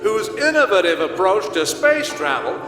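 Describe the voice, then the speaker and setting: An actor playing an earnest television science interviewer, giving a formal, portentous broadcast introduction. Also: male voice, high voice, sing-song, normal speed, gravelly, full character voice. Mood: impressed. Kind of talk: broadcast presenter delivery in audio drama. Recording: echoey hall reverb, music behind.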